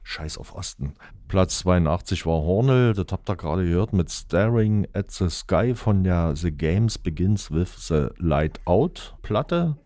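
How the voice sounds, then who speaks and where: A man's voice: sits low, mellow, no echo. A man hosting a radio chart countdown in casual, joking chat, announcing songs with many fillers. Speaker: radio chart show host.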